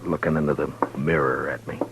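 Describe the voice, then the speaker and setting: A male actor playing a gruff but tender frontier marshal in a western radio drama, with terse, brooding delivery. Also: steady tone, brisk, a little hiss or hum, rough recording. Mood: grim narration. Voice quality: deep-voiced. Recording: old radio drama recording with music.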